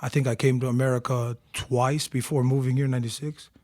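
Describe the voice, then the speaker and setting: A man in his forties from Tonga, telling animated stories about his youth as an interview guest. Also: medium pitch, fast talker, no room sound. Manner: animated storyteller, acts out quotes. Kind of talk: casual storytelling interview.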